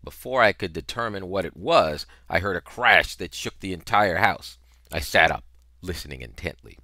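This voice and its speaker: A middle-aged man narrating a novel in an expressive, dramatic style, with emphatic, deliberate phrasing on a headset mic. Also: deep voice, no echo, clean studio sound. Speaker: reader of a children's novel.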